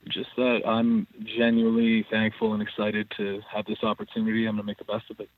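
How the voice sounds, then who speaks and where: Man, low voice, monotone, matter-of-fact, phone-line sound, dry. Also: brisk. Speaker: professional wrestler guest.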